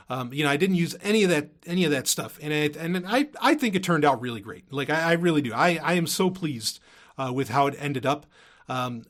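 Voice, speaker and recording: A man's voice: a little high, fairly bright tone. A man hosting a solo podcast, talking to his listeners in an informal, rambling, emphatic way. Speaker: podcast host.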